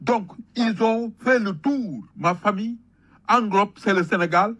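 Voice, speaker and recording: Husky, emphatic Senegalese man. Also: high-pitched, measured pace, fairly bright tone. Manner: emphatic, rhetorical, storytelling with repetition. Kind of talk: impassioned patriotic public talk, switching between French and Wolof.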